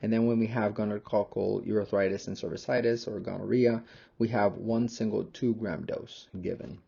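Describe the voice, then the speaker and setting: A young man, a pharmacy student, narrating a slide lecture in a measured, explanatory manner. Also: on the low side, fairly even intonation, creaky, warm tone, slightly rough, dull audio.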